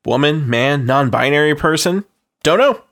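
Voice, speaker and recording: Lively offhand man in podcast banter. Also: a little high, moderate pace, no echo, studio quality. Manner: offhand, feigning cluelessness. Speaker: podcast co-host. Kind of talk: casual comic banter.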